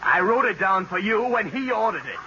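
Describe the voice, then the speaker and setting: A man's voice: high voice, lively intonation, a little fast. A man playing a put-upon restaurant waiter in old radio sitcom style, formally courteous with dry sarcasm. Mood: sarcastic. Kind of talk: 1940s-50s radio sitcom acting. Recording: noisy, muffled old-time radio recording.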